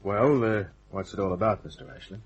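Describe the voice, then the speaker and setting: An actor playing a wry, cocky private detective, narrating and talking in a dry, laconic old-time radio style. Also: male voice, on the low side, brisk, slightly rough, dull audio. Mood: dry, probing.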